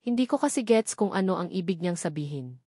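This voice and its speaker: A woman's voice: fairly low pitch, lively intonation, fairly quick, mellow, slightly rough, dry recording. Filipino woman narrating, dry close sound. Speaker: audiobook narrator. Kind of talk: scripted first-person romance novel narration.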